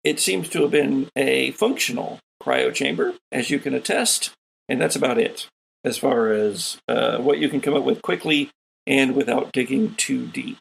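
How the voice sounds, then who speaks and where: A man running a tabletop sci-fi game, narrating scenes in an improvised, deliberate and descriptive way as game master. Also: medium pitch, vocal fry, breathy edge, low-quality recording.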